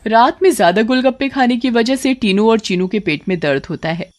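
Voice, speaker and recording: A woman's voice: on the high side, animated, a little fast. A woman storyteller reading scripted narration for a village tale.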